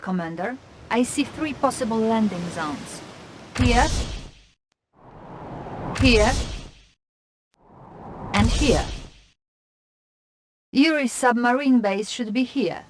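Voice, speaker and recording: An actress playing a composed female Soviet military intelligence officer, giving measured, formal mission briefings in a video game. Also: a little high. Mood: businesslike. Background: music.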